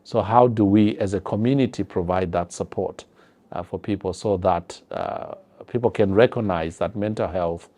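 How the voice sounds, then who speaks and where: Deep-voiced Zimbabwean man, mellow and earnest. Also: moderate pace. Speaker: public health professional. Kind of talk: explanatory interview talk.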